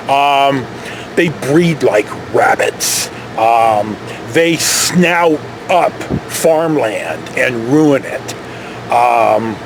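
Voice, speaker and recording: A man's voice: sits high, a little hiss or hum, low-quality recording. An American man from California tells a casual, rambling anecdote in a folksy, digressive, mildly profane way. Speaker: storytelling vlogger.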